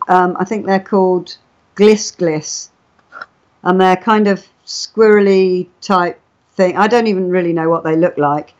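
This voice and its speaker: A woman chatting casually on a live stream, telling stories and explaining things in a chatty way. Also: on the low side. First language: English. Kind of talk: casual live chat, storytelling. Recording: dull lo-fi internet chat audio.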